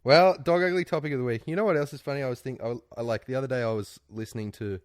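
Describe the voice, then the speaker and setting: A man co-hosting a casual podcast, hungover and worn out, joking and laughing loudly. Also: medium pitch, racing, no echo, studio quality. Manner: loud, laughing, joking banter. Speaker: podcast co-host. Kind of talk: casual chat.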